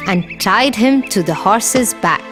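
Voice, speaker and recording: A storyteller narrating a children's tale in an expressive, deliberate way, with music behind. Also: medium pitch.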